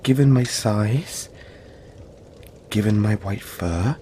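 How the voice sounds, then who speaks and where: A man acts out a big cuddly yeti boy for an audio roleplay. He speaks very slowly in a lowish voice, gentle and polite, often halting as he reassures the listener. There is clear noise behind the voice. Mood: gentle, reassuring. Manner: soft-spoken reassurance, halting, polite and chatty. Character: huge, gentle, cuddly young male yeti monster.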